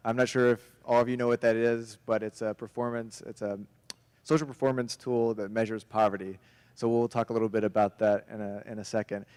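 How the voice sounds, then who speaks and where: Man with a smooth, lowish voice. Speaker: conference panelist. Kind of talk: self-introduction at a conference.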